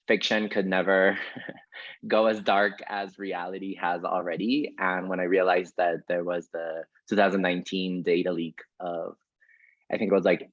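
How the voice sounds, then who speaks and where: A man speaking as a guest in a casual, earnest, reflective interview style. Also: low-pitched. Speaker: guest playwright and actor. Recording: very roomy, dull lo-fi audio.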